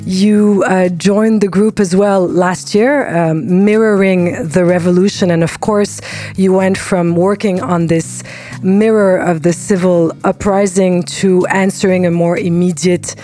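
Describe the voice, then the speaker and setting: A woman hosting a radio interview, asking measured, scripted questions. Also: fairly low pitch, fairly even intonation, dark tone, soft background music. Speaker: radio show host.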